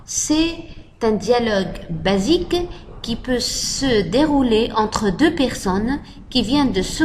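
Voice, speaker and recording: Slow-speaking woman teaching French. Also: mid-range voice, a little hiss or hum. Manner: slow and deliberate, repeating model phrases. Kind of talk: slow spoken language lesson.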